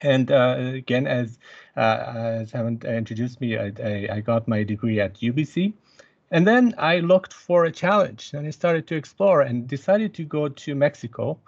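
A middle-aged man giving a presentation over a video call, pausing often with fillers and restarting his sentences. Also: mid-range voice, animated, vocal fry. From born in Iran, grew up in Germany. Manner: halting, many fillers and restarts. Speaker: university instructor giving a talk. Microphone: video call webcam mic. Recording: dull video call audio.

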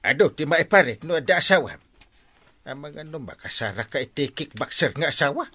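An actor with an Ilocano accent playing a cranky, boastful, mocking uncle in a radio comedy drama, with a husky voice and scoffing delivery. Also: male voice, fairly high pitch, expressive, a little fast, bright, forceful tone. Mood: mocking, scolding.

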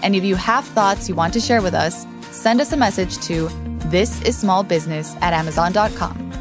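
A woman's voice: lowish voice, moderate pace, over music. A woman hosting a podcast, moving between scripted narration and interview questions. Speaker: podcast host.

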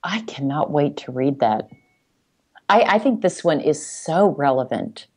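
A woman's voice: low voice, sing-song, measured pace, husky. A woman talking conversationally as a guest on a business podcast, sharing her working experience. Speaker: regular podcast guest, corporate sales executive.

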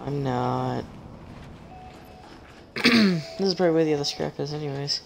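A woman with a deep voice, chatting casually as she plays a game on stream, joking at herself and humming while she searches. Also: normal speed, vocal fry, background noise. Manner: chatty, self-mocking, giggly, hums while searching. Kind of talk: casual gameplay commentary.

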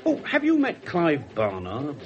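An English actor playing a blunt, sardonic, wisecracking police inspector in a radio drama. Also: male voice, on the high side, wildly swooping pitch, unhurried, darkish tone, lo-fi sound. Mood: wry, wisecracking. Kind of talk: 1970s radio drama acting. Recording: noisy 1970s radio broadcast recording.

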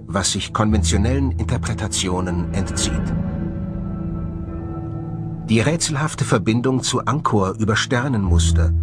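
A man reads scripted documentary narration in a measured, solemn way. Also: mid-range voice. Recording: music bed under the voice. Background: music.

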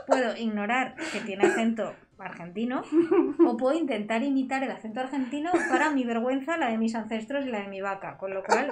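A woman from Spain playing a video game, reading its dialogue aloud in playful voices and chatting along. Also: fairly high pitch. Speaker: let's play streamer. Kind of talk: game playthrough, voicing characters.

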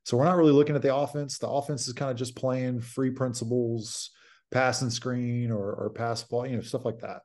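A man's voice: medium pitch, little pitch movement, normal speed, a little mellow. A man in his thirties, a basketball coach, explaining a practice drill plainly over a video call. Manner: plain, explanatory, many fillers. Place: home office indoors. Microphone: video call webcam.

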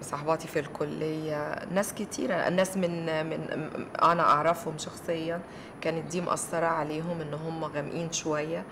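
A woman's voice: deep voice, flat delivery, rapid-fire, background noise. A middle-aged mother with an Egyptian Arabic accent, chatting casually and dropping English words into her speech. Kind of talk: casual family conversation.

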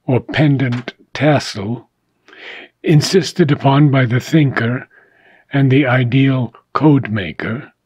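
Slow, husky man reading solemnly. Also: mid-range voice, darkish tone, no echo. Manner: solemn, measured phrasing with frequent deliberate pauses. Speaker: reader of a philosophical book. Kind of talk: scripted audiobook narration of philosophy.

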